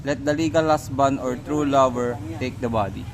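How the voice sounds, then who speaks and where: A man's voice: mid-range voice, moderate pace, natural voice. Man acting a proud suitor, noisy recording. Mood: dismissive. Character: boastful young suitor, proud rival for a bride. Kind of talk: amateur radio drama acting. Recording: noisy recording with outdoor ambience, birds.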